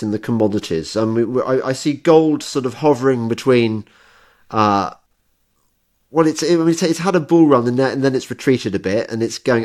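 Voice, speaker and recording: A man hosting a casual interview, asking questions in a hesitant, rambling way. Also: mid-range voice. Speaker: interviewer, host.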